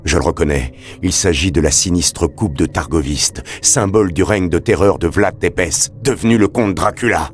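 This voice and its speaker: A man playing a grave adventurer hunting demons in a video game, speaking with formal, deliberate, theatrical diction. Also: sits low, a little hiss or hum, close to an everyday voice. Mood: measured, observant. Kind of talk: video game voice acting, narrated observations.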